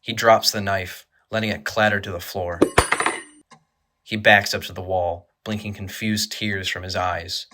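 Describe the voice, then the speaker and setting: A man narrating a scripted horror audio drama in a measured, grave manner. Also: sits low, fairly even intonation, pushed and bright.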